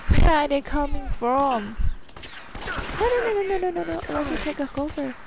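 A woman's voice: high-pitched, lively intonation, normal speed, creaky, background noise. A woman hosting a gaming video, casually commenting on her play in short remarks to herself. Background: game music and sounds.

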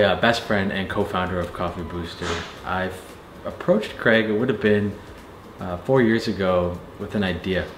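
A man in his thirties with a Canadian accent, speaking casually as a startup founder in a documentary interview. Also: deep voice. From Thorold, Ontario, Canada. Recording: echoey room with music underneath. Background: music.

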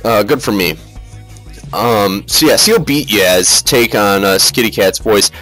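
A man hosting a casual comedic slideshow review, talking in a halting, rambling, profane, mock-serious way. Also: fairly low pitch, fairly bright tone, soft background music. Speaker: reviewer, video host.

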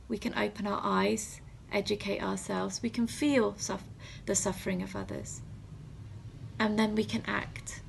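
A woman in her thirties with a husky, breathy voice, giving a gentle, reflective assembly talk as a reverend, measured and halting with pauses. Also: medium pitch, a little hiss or hum. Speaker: reverend leading a school assembly. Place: small room at home. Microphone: phone or webcam at arm's length.

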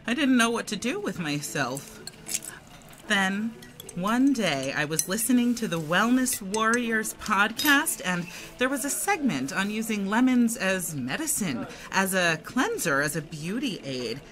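An actress playing an earnest, self-assured former model turned wellness guru in an audio drama. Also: female voice, medium pitch, big pitch swings, a little slow. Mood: confessional, earnest. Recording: music playing behind the dialogue.

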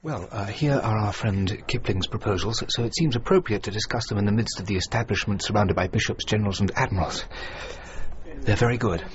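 An actor playing an earnest, self-doubting male architect in a radio drama, with a halting, thoughtful delivery. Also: on the low side, dull audio, lightly acted. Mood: quietly approving. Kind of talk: BBC radio drama acting.

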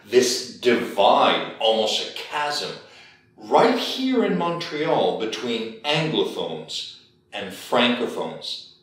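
An older man interviewing formally, like a professor, with an erudite, deliberate, rhetorical way of putting questions. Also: medium pitch. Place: indoor meeting room at a long table. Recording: very echoey room, distant mic.

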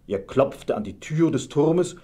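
Husky male fairy-tale storyteller. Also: a little high, expressive, measured pace, warm tone. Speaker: storyteller, narrator. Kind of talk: scripted fairy-tale narration.

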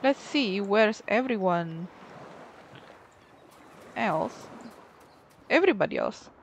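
A woman's voice: medium pitch, expressive, takes it slowly, a little hiss or hum. A woman giving casual gameplay commentary, musing to herself and exclaiming at what she finds. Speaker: gaming streamer. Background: soft game music.

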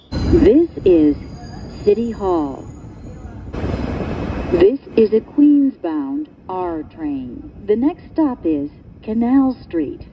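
A woman giving pre-recorded subway announcements in a measured, carefully enunciated, pieced-together delivery. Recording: muffled train speaker, vehicle noise. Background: subway car rumble.

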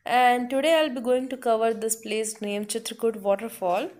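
A woman's voice: a little high, steady tone, normal speed, background noise. A woman from Chhattisgarh with an Indian accent, narrating a travel vlog. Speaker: travel vlogger.

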